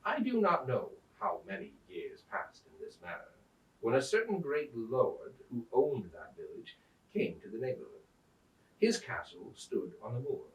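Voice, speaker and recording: A man reading an old tale aloud as a storyteller, in a theatrical, deliberate manner. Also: medium pitch, huge pitch swings. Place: indoors at a museum. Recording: roomy echo, distant microphone.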